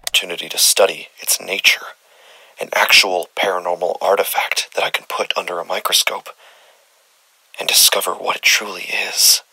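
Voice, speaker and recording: A man playing a haunted, regretful investigator of the paranormal in a horror audio drama, with a hushed, rasping half-whisper full of dread. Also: low voice, flat delivery, no echo, heavily acted, low-quality recording. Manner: hushed, slow, dread-laden.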